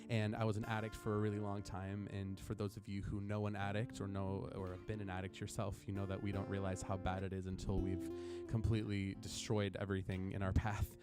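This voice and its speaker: A young man from Sweden with an American accent, a singer speaking as a guest in a radio studio, earnest and open with many ums. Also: lowish voice. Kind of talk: casual spoken talk between songs.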